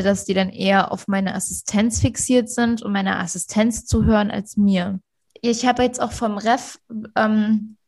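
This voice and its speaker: A young woman, a student, giving an informal seminar talk in a casual, lively storytelling way. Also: medium pitch. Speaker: student speaker.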